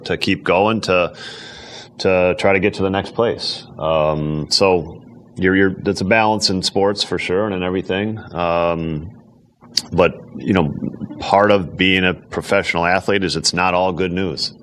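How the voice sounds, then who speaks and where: A man giving unscripted, measured and halting answers in a sports interview. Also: low-pitched, fairly even intonation, fairly bright tone.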